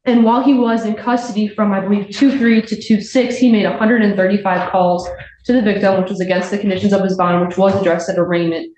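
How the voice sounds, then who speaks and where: A woman's voice: mid-range voice, fairly even intonation, quick pace, darkish tone. A woman speaking as a prosecutor, making a formal argument to a judge in court. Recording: echoey courtroom, faint background noise.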